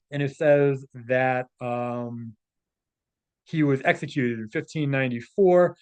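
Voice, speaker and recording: Man, somewhat high voice, casual read-and-comment delivery, sarcastic, scornful asides. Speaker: commentator, presenter. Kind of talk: casual spoken commentary while reading aloud from a text.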